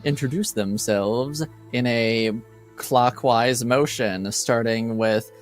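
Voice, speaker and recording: Male game master, slow pace, mid-range pitch, casual hosting, halting delivery, music behind. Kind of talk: casual show introduction.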